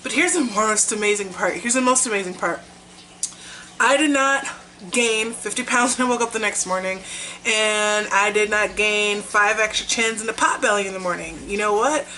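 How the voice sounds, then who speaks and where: Bright-voiced woman losing her voice. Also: medium pitch, moderate pace, slight background noise, poor audio. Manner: chatty and upbeat, with fillers. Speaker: vlogger. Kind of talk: casual weight-loss vlog.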